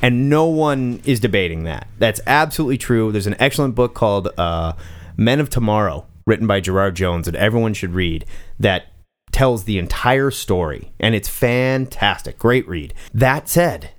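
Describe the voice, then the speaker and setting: A man's voice: on the low side, normal speed. A man co-hosting a comics podcast, chatting casually in a sarcastic, joking way. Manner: animated, sarcastic, jokey. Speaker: podcast co-host. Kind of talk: casual chat.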